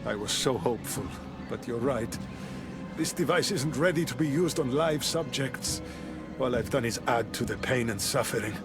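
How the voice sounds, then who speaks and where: A man's voice: mid-range voice, expressive, normal speed, lightly acted. A man playing a remorseful inventor. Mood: regretful. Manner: regretful, subdued. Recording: game music underneath.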